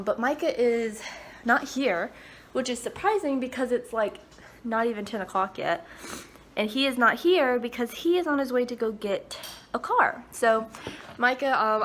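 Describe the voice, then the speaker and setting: A woman's voice: fairly high pitch, fairly bright tone, a little hiss or hum. Chatty congested young woman vlogging. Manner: chatty and rambling, many ums and likes. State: stuffy and congested. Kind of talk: casual talk to camera while getting ready. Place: home bathroom. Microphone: phone camera.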